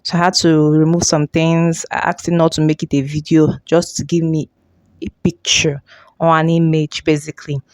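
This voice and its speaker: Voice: medium pitch, flat delivery, moderate pace, dry recording, studio quality. An informal tutorial host with a mid-range voice. They explain step by step and often repeat or reword a phrase. Music plays behind the talk.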